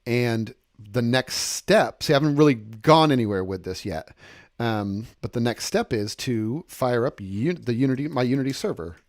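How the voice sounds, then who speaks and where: Male tutorial presenter, mid-pitched, informal, methodical step-by-step explainer who restates key points; dry studio-like sound. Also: expressive, moderate pace, dry recording. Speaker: software instructor and demonstrator. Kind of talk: informal screen-share software tutorial.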